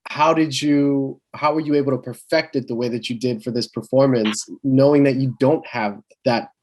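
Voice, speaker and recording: A man interviews a guest in an easygoing way. His questions ramble a little, with an ordinary mid-range voice. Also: medium pitch. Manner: relaxed, rambling questions with "um" and "you know".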